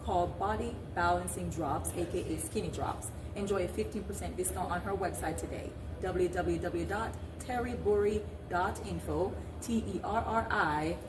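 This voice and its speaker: A woman's voice: on the low side, normal speed, hardly any pauses. A woman hosting a talk show podcast in a conversational presenting style. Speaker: podcast host. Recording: echoey room, clear background noise.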